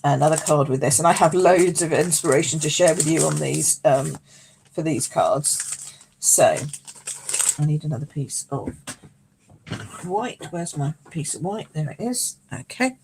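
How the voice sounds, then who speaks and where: A British woman with a croaky voice, hosting a live card-making class from home, chatting and greeting viewers by name as she works. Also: deep voice, animated, a little slow, a little mellow, a little hiss or hum, poor audio. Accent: British English. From United Kingdom. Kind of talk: casual live craft demo, chatting with viewers. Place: home craft space.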